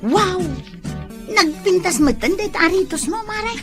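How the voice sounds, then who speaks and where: A woman's voice: extremely high pitch, expressive, a little slow. An actress playing a nosy, teasing young woman coworker in a radio drama. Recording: music bed under voice.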